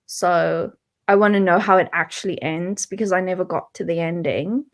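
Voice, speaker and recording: A woman's voice: on the low side, normal speed. A woman co-hosting a book readalong live show in casual chat, informal and tentative as she thinks aloud.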